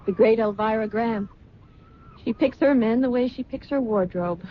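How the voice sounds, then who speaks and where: An actress playing an earnest, anxious twenty-year-old daughter in an old-time radio drama, sincere and restrained. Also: female voice, fairly high pitch, moderate pace, close to an everyday voice. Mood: dry, probing. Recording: muffled, noisy vintage radio recording.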